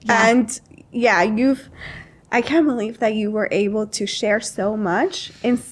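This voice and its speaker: A woman's voice: on the high side, fairly even intonation, slow pace. A woman hosting a podcast, chatting casually with her guest in person. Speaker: podcast host interviewing an author. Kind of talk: casual chat. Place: indoors, in-person podcast recording.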